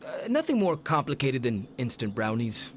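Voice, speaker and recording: An actor playing a stubborn, anxious young hero in a video game, with a defensive, sardonic way of talking. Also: male voice, mid-range voice, very animated, moderate pace, a little hiss or hum, close to an everyday voice. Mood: frustrated, sarcastic.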